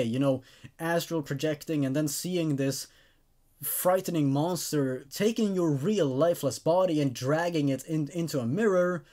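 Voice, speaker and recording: A man hosting a casual online story review, talking to viewers in a halting, stammering way with repeated words. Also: a little high, darkish tone. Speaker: host of a horror story review show.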